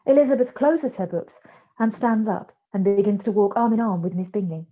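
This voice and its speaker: A woman's voice: mid-range voice, fairly quick. A woman reading scripted scene narration for a radio play. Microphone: video call. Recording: dull video-call audio.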